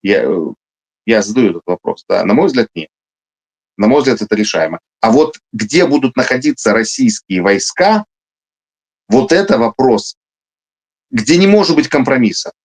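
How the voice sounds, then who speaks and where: A man's voice: medium pitch, big pitch swings, normal speed, pauses often, slightly rough. A man who is a military officer, answering interview questions emphatically, with frequent hesitations and restarts. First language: Russian.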